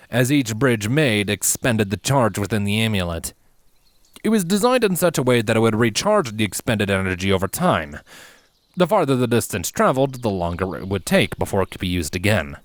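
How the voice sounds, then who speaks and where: A man narrating a scripted story as a reader of fan fiction, with expressive, theatrical emphasis. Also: fairly low pitch, dry recording.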